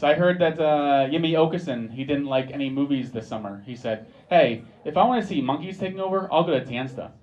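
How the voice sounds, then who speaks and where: A man's voice: a little high, highs cut off, noisy background. A young American man performing a stand-up set in a pub, dry and wry, with mock-sincere asides. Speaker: stand-up comedian. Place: pub comedy stage with a live audience. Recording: live pub recording, crowd laughing. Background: audience laughter.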